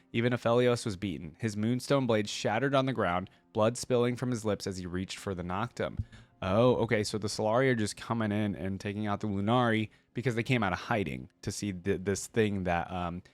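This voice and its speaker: A man hosting a reaction video, casually commenting and reading lore aloud, thinking out loud with informal asides. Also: on the low side, brisk, dry recording. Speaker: YouTube reaction host.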